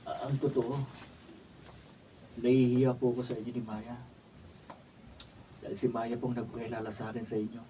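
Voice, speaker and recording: Through distant, noisy audio comes an earnest young Filipino man played by a male actor in a radio drama. He is humble and polite, speaking sincerely in a medium-pitched voice. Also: level tone, moderate pace, long pauses, muffled recording, lightly acted. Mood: hesitant, ashamed. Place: radio drama recording session.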